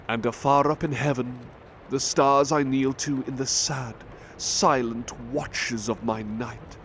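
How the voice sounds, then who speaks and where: A man voicing a devoted, big-hearted butler who softly reads poetry aloud in an ASMR roleplay. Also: medium pitch, slight background noise. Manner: soft, unhurried, tender recitation. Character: gentle devoted male butler servant. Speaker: butler reading poetry to the listener.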